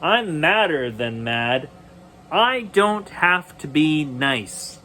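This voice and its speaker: A man's voice: a little high, sing-song, drawn-out words, pushed and bright, full character voice. A man voicing an angry, stubborn little boy in a storybook, with a grumpy, defiant manner.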